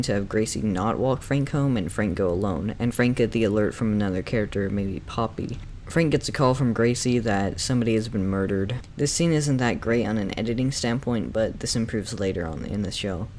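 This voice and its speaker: A man's voice: medium pitch, darkish tone. Opinionated male reviewer over background noise. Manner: opinionated, enthusiastic fan talk. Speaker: reviewer and narrator of a video essay. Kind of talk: semi-scripted review commentary. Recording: clearly noisy background.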